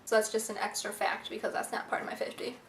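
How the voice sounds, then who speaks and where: A woman's voice: mid-range voice, monotone, fast talker. Chatty young woman vlogging in an echoey room. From Egypt, raised in the USA. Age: young adult. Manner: chatty, bubbly, filler-heavy with lots of "like". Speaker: beauty vlogger. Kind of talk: casual facts-about-me vlog. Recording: echoey room, faint hiss.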